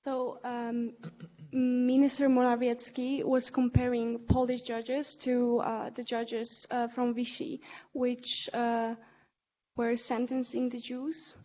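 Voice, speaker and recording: A woman's voice: fairly high pitch, little pitch movement, slow pace, warm tone, lo-fi sound. A woman interpreting into English on a panel, hesitant and full of fillers.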